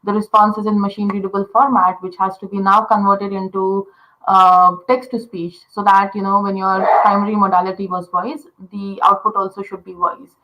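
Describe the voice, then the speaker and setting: A woman's voice: mid-range voice, flat delivery, moderate pace, bright, forceful tone, slight background noise, poor audio. A woman from India, a voice designer patiently explaining how voice assistants work, full of "uh" and "you know". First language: Telugu. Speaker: voice interaction designer. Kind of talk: explaining in a casual interview.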